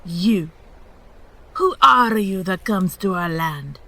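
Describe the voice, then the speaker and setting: A woman's voice: lowish voice, animated, slow, bright, forceful tone, exaggerated voice. A woman playing a suspicious, challenging wild earth titan warrior in a fantasy audio drama.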